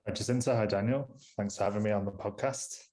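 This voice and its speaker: A man's voice: fairly low pitch, fairly even intonation, fast, darkish tone. A young man from Manchester with a northern English accent, explaining his research as a podcast guest in a casual, explanatory way with small restarts. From Manchester, England. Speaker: astronomer guest.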